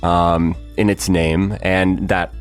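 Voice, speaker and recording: A man's voice: sits low, little pitch movement, takes it slowly, smooth, soft background music. A man co-hosting a podcast, musing thoughtfully about a story, with lots of fillers and easy laughs. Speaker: podcast co-host. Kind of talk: casual behind-the-scenes discussion.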